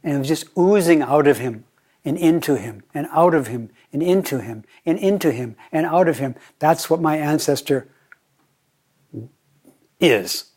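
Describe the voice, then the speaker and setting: An older American man giving a Zen dharma talk, playful and deliberate, often slowing down and repeating his phrases. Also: a little high, animated, darkish tone. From United States. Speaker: Zen teacher.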